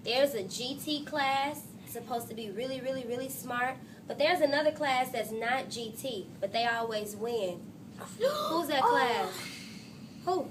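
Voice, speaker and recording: A woman teacher reading a storybook to her class and pausing to ask coaxing, instructive questions. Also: high voice, animated, noisy background. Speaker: third-grade teacher. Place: classroom with children answering.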